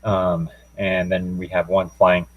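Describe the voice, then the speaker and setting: A man's voice: deep voice, little pitch movement, slow pace, highs cut off, heavy background noise. A young man giving an informal student technical presentation, talking through a demo in a hesitant, unscripted way. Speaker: student presenting a class project.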